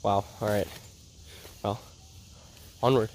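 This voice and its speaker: A man's voice: lowish voice, takes it slowly, slight background noise. Congested young man vlogging in the woods. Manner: chatty, excited, self-narrating while searching. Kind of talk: casual outdoor vlog. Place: woods outdoors. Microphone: head-mounted action camera.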